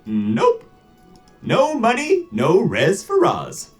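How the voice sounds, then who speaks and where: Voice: medium pitch, wildly swooping pitch, very slow, soft background music, heavily acted. An actor voicing a cheeky, mischievous necromancer shopkeeper in a video game, with a theatrical, teasing, breezy manner. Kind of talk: video game character acting.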